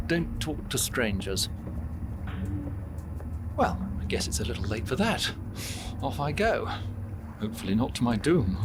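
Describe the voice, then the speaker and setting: An actor playing a polite, hapless young English gentleman adventurer in a cartoon game, prim and apologetic. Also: medium pitch, sing-song, measured pace, pauses often, noisy background. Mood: rueful. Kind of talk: scripted cartoon game acting.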